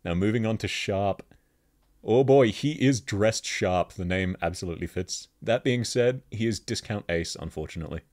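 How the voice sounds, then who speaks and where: Aussie guy, thick accent, low-ish voice, casual game chat with lots of laughing. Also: normal speed. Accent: Australian. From Australia. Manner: chatty, laughs often, lively pitch jumps. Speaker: gaming video host. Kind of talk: casual commentary.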